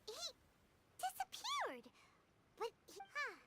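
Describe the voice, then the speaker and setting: A woman voicing a tiny, excitable childlike fairy companion in a video game, squeaky and full of exclamations and questions. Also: slow pace, heavy background noise, exaggerated voice. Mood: excited, alarmed. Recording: loud music under the voice.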